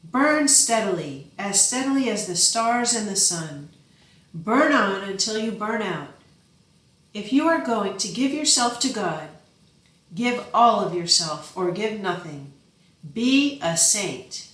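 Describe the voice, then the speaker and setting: A woman's voice: medium pitch, lively intonation, takes it slowly, a bit bright, far from the mic. A woman reading the part of a giant medieval lord, a stern and fervent father who gives solemn, fierce commands in a storybook. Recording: echoey room, from off-mic.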